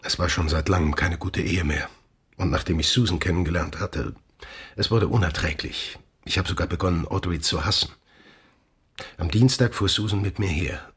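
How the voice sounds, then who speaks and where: A troubled middle-aged father in a German radio play. His voice is very low and extremely husky. He speaks gravely and haltingly, as someone confessing. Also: a bit bright, exaggerated voice.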